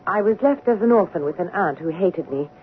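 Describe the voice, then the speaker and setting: An actress playing a polite, forthright eighteen-year-old governess in a 1970s radio drama, speaking in a measured way. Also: female voice, fairly low pitch, expressive, slight background noise, close to an everyday voice. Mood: composed. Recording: tinny band-limited old radio recording.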